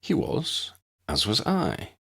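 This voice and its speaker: A man's voice: mid-range voice, huge pitch swings, takes it slowly, vocal fry, fairly bright tone, slightly rough, voice pushed up, exaggerated voice. A man voicing a dignified, genial older uncle in an audiobook, with formal, precise, wordy speech. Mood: playful.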